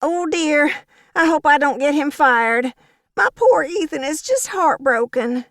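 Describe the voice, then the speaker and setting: A high, shrill, simpering old lady, all false tears and theatrical sighs. The voice sits far above the reader's own and has a strained, put-on edge. The recording is dry and close. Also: expressive, a little slow, a bit bright, no echo, full character voice. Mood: simpering, fake-tearful. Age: older. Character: gossipy, sanctimonious old woman, falsely tearful.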